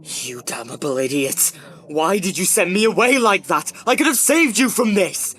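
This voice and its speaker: An agitated fantasy warrior with a high, sharp voice. His pitch leaps about as he urgently lashes out in hot-tempered loyalty. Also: animated, normal speed, husky, heavily acted. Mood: frantic, angry. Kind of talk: fantasy audio drama acting.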